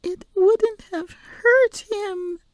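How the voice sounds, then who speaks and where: Little girl for a storybook, way high and slow, breathy, plaintive, insistent. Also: no room sound, heavily acted. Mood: stubborn protest. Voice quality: small, breathy, often whispering. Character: stubborn, secretive eight-year-old girl with uncanny foresight.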